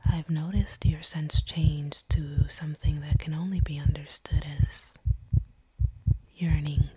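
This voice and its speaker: A sadistic, seductive vampire played by a woman in an intimate audio roleplay. She whispers very breathily and unhurriedly, in a low register, teasing and commanding her captive. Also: fairly even intonation, takes it slowly, warm tone, husky, no room sound, full character voice. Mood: hungry, seductive.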